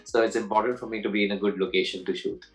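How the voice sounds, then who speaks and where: A man in his forties with a lowish voice talks through his answers in a relaxed interview. He circles back, restarts phrases and repeats words before landing on his point, and laughs now and then. Faint music sits underneath. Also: racing. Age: 40s. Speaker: actor being interviewed.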